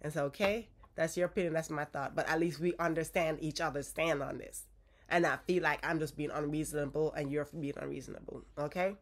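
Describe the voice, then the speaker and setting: A woman's voice: sits low, fairly even intonation, brisk. A woman in her forties, stuffy from a cold, giving direct, coaching relationship advice to her viewers and checking in with a frequent "okay?". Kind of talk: casual advice talk to viewers.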